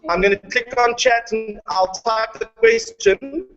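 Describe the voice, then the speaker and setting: A South African man hosts a live classroom link in a very high voice with lots of melodic swing. He is informal and directive, steering the group along. The sound is slightly dull, with music clearly in it. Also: big pitch swings, unhurried, a bit bright. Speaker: host, educator. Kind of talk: hosting a live classroom video call. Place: room with students, on a video call. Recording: muffled lo-fi video call with background music.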